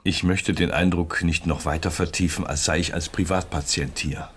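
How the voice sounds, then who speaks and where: Radio drama villain: male actor as menacing state security officer, deep register, impeccably polite, insinuating, measured. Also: steady tone, slight background noise, natural voice. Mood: smoothly apologetic. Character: courteous, menacing East German secret police officer.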